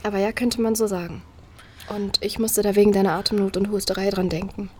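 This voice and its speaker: A woman's voice: medium pitch, fairly even intonation, brisk, mellow, slight background noise, lightly acted. A young woman playing a frank, slangy vlogger in an audio drama, casual and blunt. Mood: wry. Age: young adult.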